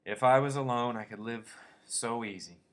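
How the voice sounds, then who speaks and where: A man voicing a tired, gruff working man who speaks flatly and wearily. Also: lowish voice, moderate pace, natural voice. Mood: weary. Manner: flat, weary. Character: weary tough itinerant worker, man, gruff.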